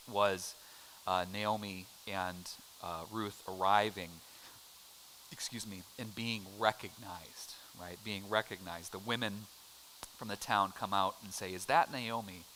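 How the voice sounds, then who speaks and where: A man's voice: lowish voice, pushed and bright, slight background noise, poor audio. Joking man teaching a church class. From United States. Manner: chatty, joking, quizzes the class. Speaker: adult education teacher. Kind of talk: casual Bible class teaching.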